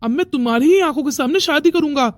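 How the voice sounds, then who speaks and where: High voice, woman acting as a defiant young man, dramatic cartoon dialogue, confrontational, dry close sound. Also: fast, no echo, lightly acted. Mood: angry. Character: young men in a family melodrama, brash and defiant. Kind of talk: Hindi moral-story cartoon dialogue.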